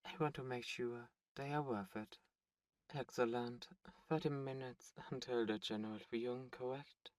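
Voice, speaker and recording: A man playing a haughty, teasing demon buyer in an ASMR roleplay audio drama, speaking with smug, suave deliberation and dramatic pauses. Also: medium pitch, steady tone, dry recording, distant mic, natural voice. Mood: cool, calculating.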